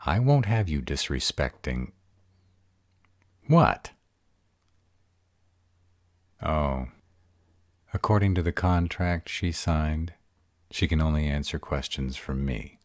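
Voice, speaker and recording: Male actor as exasperated sarcastic vampire guy, extremely low voice, swinging intonation, audio drama. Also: animated, slow, long pauses, dry recording, clean studio sound, full character voice. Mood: annoyed. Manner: sarcastic, exasperated, casual drawl with swearing. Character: sarcastic, baffled male vampire, foul-mouthed and wary. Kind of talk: audio roleplay drama acting.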